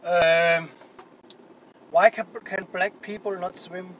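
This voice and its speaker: A middle-aged Danish man with a high voice talks in blunt, excitable, broken English. He is live-streaming on the street, holding a phone. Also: slow pace, rough recording. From Denmark. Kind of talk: casual live-stream talk. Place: outdoors on city streets.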